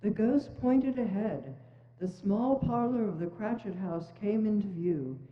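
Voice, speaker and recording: A woman's voice: mid-range voice, lively intonation, measured pace. Woman narrator in an echoey hall. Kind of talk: scripted story narration, dramatic reading. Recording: echoey church hall, dull sound.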